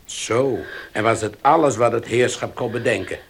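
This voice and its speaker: An actor in a Dutch radio play playing a gentle middle-aged father who calms his family. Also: mid-range voice, normal speed, creaky, slightly rough. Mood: concerned.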